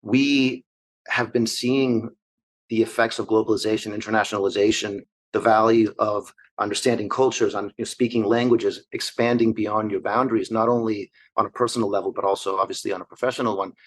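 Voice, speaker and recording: Husky-voiced man in his forties, measured. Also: fairly low pitch, fairly even intonation, low-quality recording. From Argentina. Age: 40s. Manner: fluent, measured business talk in long structured lists. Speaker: investor guest. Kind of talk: interview answers.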